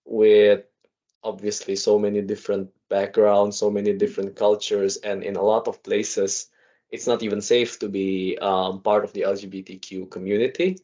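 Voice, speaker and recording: A man from Indonesia, in his thirties, talks as a podcast guest in an office meeting room. His voice sits fairly low and he speaks in quick, chatty bursts full of fillers and restarts. The sound is dull and lo-fi. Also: flat delivery, warm tone. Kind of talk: casual conversational interview.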